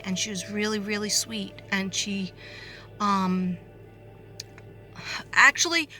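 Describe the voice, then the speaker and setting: A hoarse woman rambling straight to her viewers, her talk full of fillers and false starts. Her voice is bright and a little strained, with faint music playing underneath. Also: medium pitch. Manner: rambling, frequent fillers and restarts. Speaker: psychic reader. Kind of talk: casual monologue to viewers.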